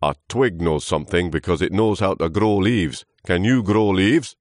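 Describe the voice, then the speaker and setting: A man's voice: low voice, normal speed, no echo, studio quality, lightly acted. A man voicing a grumpy, pompous old tree trunk in a children's story, groaning wearily and full of scorn. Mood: scornful.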